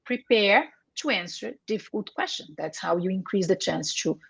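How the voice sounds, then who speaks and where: A woman's voice: medium pitch, animated, normal speed, vocal fry, fairly bright tone, husky, no echo. A woman whose first language is Portuguese, a career coach giving earnest advice as an interview guest, often checking in with tag questions and correcting herself.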